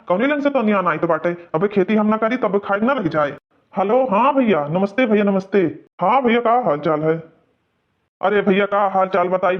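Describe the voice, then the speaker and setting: Guy doing a high whiny cartoon village farmer, awadhi accent, always grumbling and arguing, kinda muffled recording. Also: close to an everyday voice. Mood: complaining. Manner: whining, grumbling, argumentative. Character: aggrieved, complaining young village farmer, a brother-in-law. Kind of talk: Awadhi cartoon comedy dialogue. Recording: dull, lo-fi cartoon audio.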